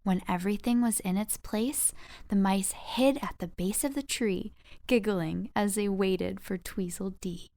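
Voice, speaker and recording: A woman narrating a scripted children's audiobook with expressive bedtime storytelling. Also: medium pitch, unhurried, vocal fry, no echo.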